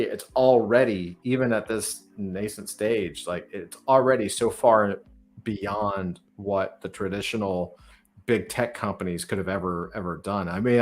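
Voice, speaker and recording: A man hosting a casual podcast conversation, thinking out loud with frequent restarts and "right?". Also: on the low side, moderate pace.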